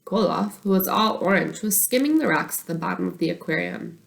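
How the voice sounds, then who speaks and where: Slightly low-voiced woman reading a storybook. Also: lively intonation, a little fast, gravelly. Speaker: storybook reader. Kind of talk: expressive scripted narration of a children's book.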